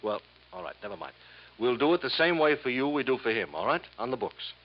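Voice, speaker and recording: A man's voice: medium pitch, lively intonation, fast, lightly acted. An actor playing a middle-aged, crooked company boss in a radio drama, wheedling and folksy, with salesman patter full of tag questions. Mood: conspiratorial. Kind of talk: 1950s radio drama acting. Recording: muffled, hissy 1950s radio recording.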